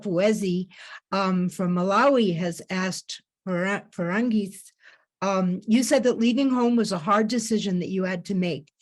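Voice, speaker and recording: A woman co-hosting a panel who puts questions to the guests in a thoughtful way. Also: medium pitch. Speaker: co-host. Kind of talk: moderating a panel.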